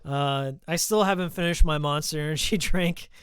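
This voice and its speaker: A man chatting casually while live-streaming a game, a little hoarse after hours of talking, rambling and reading out viewer names. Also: on the high side, moderate pace, fairly bright tone, no room sound, studio quality. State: slightly hoarse from a long stream.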